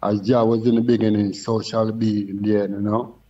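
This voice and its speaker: A man's voice: fairly low pitch, level tone, a little fast, dark tone. An older Jamaican man speaking as a Rastafarian priest and guest, in reverent, casual Patois. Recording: distant, slightly dull remote sound.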